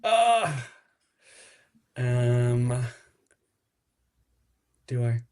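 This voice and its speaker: A man talks casually as a guest on a podcast. His voice sits at an average pitch, and his delivery is informal and conversational. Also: sing-song, extremely slow, darkish tone, clear, resonant tone. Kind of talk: casual chat, telling an anecdote.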